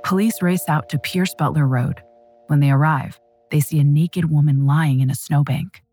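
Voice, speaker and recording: A woman's voice: low-pitched, normal speed, dark tone, breathy edge. A woman hosting a true crime podcast, reading a scripted, dramatic story.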